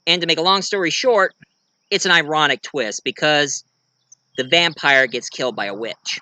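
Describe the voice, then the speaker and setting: An American man giving a casual show-and-tell monologue, rambling and halting as he corrects himself along the way. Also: fairly high pitch, bright, forceful tone, no room sound. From United States. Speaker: amateur reviewer and story writer presenting own work.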